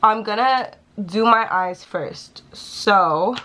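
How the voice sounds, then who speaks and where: A woman's voice: medium pitch, takes it slowly, pushed and bright, slight background noise. A young woman chatting casually and swearing freely while she does her makeup in a small room. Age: young adult. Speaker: beauty vlogger.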